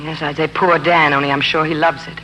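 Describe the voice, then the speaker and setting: A woman's voice: deep voice, little pitch movement, a little fast, pushed and bright. An actress playing a spirited, independent woman, tender but firm, direct and emotional in 1940s radio drama style. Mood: bright, affectionate. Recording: noisy, humming 1940s radio recording.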